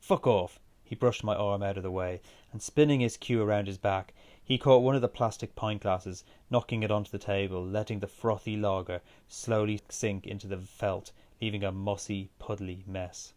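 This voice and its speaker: A man's voice: fairly low pitch, steady tone, moderate pace, few pauses, a little mellow. A man reading his own literary short story as scripted narration.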